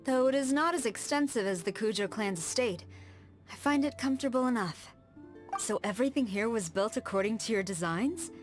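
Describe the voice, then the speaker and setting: An actress playing a stern, proud tengu warrior woman in a video game, with composed, firm and measured formal speech. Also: a little high, lively intonation, lightly acted. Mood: reserved, polite. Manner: formal, measured, precise diction. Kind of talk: scripted video game character dialogue. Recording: studio voice over background music.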